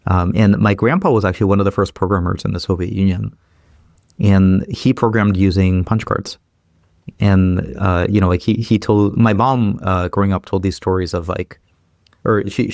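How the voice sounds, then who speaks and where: A man's voice: sits low, a little mellow, dry recording, studio quality. A man in his thirties, originally from Ukraine, speaking as an interview guest in casual chat, with lots of fillers and restarts. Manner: quick, many fillers and repeated restarts.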